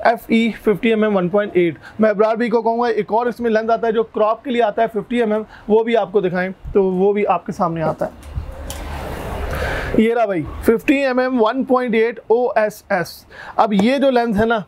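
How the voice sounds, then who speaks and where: A man with a high, hoarse voice walks through camera features in a steady, explanatory way, listing specs one after another. He sounds close and clear on a clip-on mic inside a shop. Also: normal speed. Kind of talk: explaining product specs and prices. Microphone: lapel mic.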